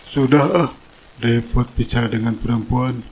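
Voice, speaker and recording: Cartoon drama foreman, male actor: bullying, status-minded, slightly rough-edged voice. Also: mid-range voice, fairly even intonation, normal speed, a little mellow, lightly acted, poor audio. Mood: angry, scolding. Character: gruff, bullying construction foreman, fawning to his boss.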